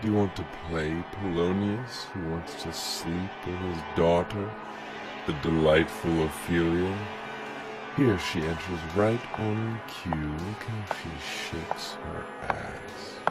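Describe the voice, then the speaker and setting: A man playing brooding, scornful Hamlet. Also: low-pitched. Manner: slow, brooding, contemptuous delivery. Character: bitter, mocking young prince Hamlet. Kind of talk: experimental audio play. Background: music.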